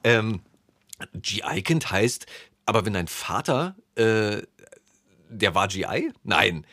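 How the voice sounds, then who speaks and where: A man's voice: lowish voice, sing-song, slow, bright, forceful tone, dry recording, studio quality. A man hosting a talk show, flustered and self-mocking, laughing often and losing his thread. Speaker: podcast host. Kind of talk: casual interview chat.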